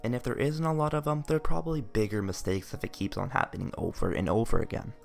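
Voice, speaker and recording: A young man with a raspy, tired voice giving earnest gaming tips in a late-night voice-over. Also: lowish voice, steady tone, quick pace. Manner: earnest, advice-giving, loose phrasing. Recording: faint music behind voice. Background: faint background music.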